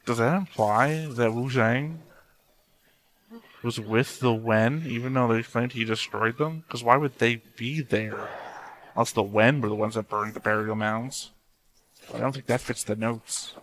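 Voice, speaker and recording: A man's voice: medium pitch, unhurried, fairly bright tone, a little hiss or hum, rough recording. A man giving casual reaction commentary on a show, musing and thinking aloud as he watches. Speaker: host of an anime reaction channel.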